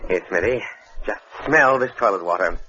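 A man's voice: on the low side, moderate pace, sharp tone, a little hiss or hum. An actor playing a suave, ruthless crime boss with refined tastes in a 1940s radio drama, theatrical and affected in his manner. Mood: urbane, pleased. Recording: dull old radio recording.

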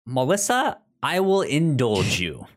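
A man's voice: mid-range voice, huge pitch swings, slow. A man co-hosting a podcast, chatting casually in an easygoing, slightly hesitant way. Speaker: podcast co-host. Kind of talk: casual chat.

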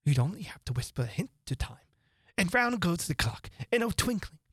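A woman voicing an excitable, mournful male hatter who rambles theatrically. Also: on the low side, husky, no echo, studio quality, pitched above the performer's own voice. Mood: whimsical. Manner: theatrical, rapid swings from chatter to gloom.

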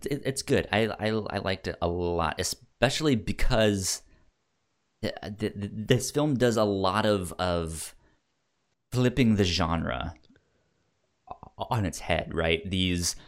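A man's voice: deep voice, slow. A man co-hosting a casual film discussion, stuttering often as he repeats sounds and words. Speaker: podcast co-host discussing films.

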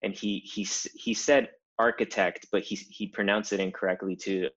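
A man in his thirties who grew up in Cuba with Spanish as his first language speaks in a very deep register. He tells his story earnestly as an interview guest, stacking up points with rhetorical asides. The recording sounds a little dull and lo-fi. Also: steady tone, normal speed, a bit bright. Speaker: podcast guest, designer and founder. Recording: slightly muffled lo-fi audio.

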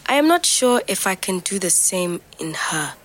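A woman playing a reflective young migrant, speaking measured, introspective fragments as a scripted audio diary. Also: medium pitch, sing-song. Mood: searching, melancholic. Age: young adult. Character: reflective young African migrant woman recording private night-time notes.

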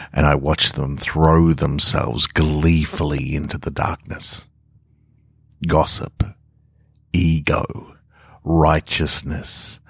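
A smug spirit of evil, read by a man, gloating with slow, quiet patience. The voice sits extremely low and takes its time over every word. The sound is close and dry, with no room around it. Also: gravelly, slightly rough, dry recording, full character voice. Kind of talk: scripted first-person story reading.

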